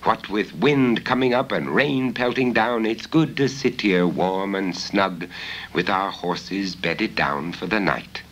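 A man's voice: low voice, takes it slowly, a bit bright. A man voicing a hearty, cautious middle-aged innkeeper with old-fashioned country phrasing in a storybook ghost tale. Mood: contented. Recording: noisy 1970s vinyl spoken-word record.

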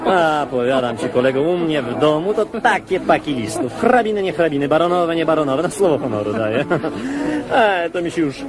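A man's voice: fairly high pitch, animated, fairly quick, lightly acted. An actor playing a pompous, self-satisfied young ladies' man in a comic radio play. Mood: boastful. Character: vain, boastful young ladies' man at a ball. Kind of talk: Polish stage comedy radio play acting. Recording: music and party chatter behind. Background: ballroom music and guests chattering.

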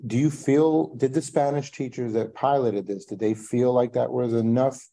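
A man's voice: mid-range voice, steady tone, normal speed, mellow. A man on a school board asking questions at a meeting, hesitant with many fillers and restarts.

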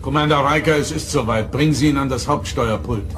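An actor playing an earnest starship captain in an audio drama, speaking formally and with authority. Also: male voice, mid-range voice, a little fast, lightly acted. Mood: urgent. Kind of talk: German audio drama acting. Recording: studio drama with music underneath.

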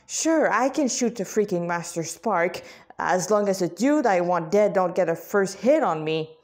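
A woman's voice: mid-range voice, expressive, a little slow, close to an everyday voice. A woman reading the inner grumbles of a weary, reluctant plant-like man in a story. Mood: grumbling.

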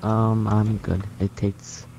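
A man's voice: fairly low pitch, little pitch movement, slow, music in the background, rough recording. A man chatting casually as a fellow player in an online game.

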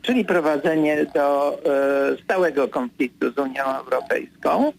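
An older man, a political commentator, answering as a guest in a radio studio interview, hesitant with many fillers, then firm and brisk. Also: fairly high pitch, vocal fry, slight background noise.

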